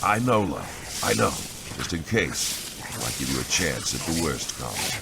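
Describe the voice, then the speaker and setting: A man's voice: a bass voice, very animated, unhurried, full character voice. A man playing a commanding, protective older fighter in a fantasy audio drama, giving terse orders. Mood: tender, resigned. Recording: clear background noise of outdoor ambience.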